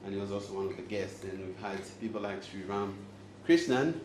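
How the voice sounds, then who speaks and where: Man from Zambia, forties, deeper voice, playful speaker quizzing audience, roomy echo, background noise. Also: a little fast, darkish tone. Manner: joking and engaging, quizzes the crowd. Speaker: host and speaker. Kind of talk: live talk to an audience. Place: event room with audience. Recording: echoey room, audible noise.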